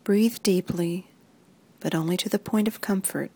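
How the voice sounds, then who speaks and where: A woman reading a guided muscle relaxation script in soft, even instructions that often sink into a whisper. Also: lowish voice, normal speed, husky.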